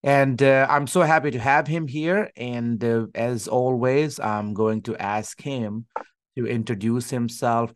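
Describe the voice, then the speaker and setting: A man in his thirties who hosts a podcast and is a senior pastor, interviewing a guest in a casual way with many pauses and fillers. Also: mid-range voice. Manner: warm-up chatter with many uh fillers, slightly formal phrasing.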